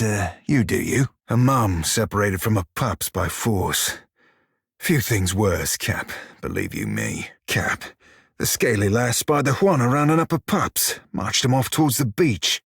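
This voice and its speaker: Crude wry pirate shiphunter in a game, raspy husky voice, pirate accent, very dry studio sound. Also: male voice, lowish voice, animated, unhurried, fairly bright tone, no room sound, heavily acted. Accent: pirate-style dialect. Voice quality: husky, rough-edged. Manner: salty, drawling sailor slang with sighs. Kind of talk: video game character dialogue and barks.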